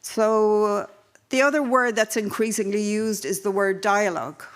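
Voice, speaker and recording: A woman with an Irish accent moderating a conference panel, measured and steady, with frequent fillers and restarts. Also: mid-range voice. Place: conference hall.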